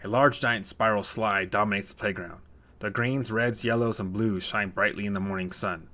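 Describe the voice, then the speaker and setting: A man's voice: lowish voice, fairly even intonation, moderate pace, bright, forceful tone. A man narrating a scripted short story as a storyteller.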